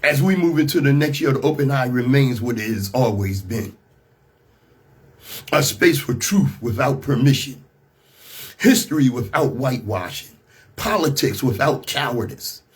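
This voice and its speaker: American radio host, slightly rough-voiced man. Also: mid-range voice, expressive, normal speed. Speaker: radio talk show host. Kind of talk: radio monologue mixing scripted commentary and casual asides.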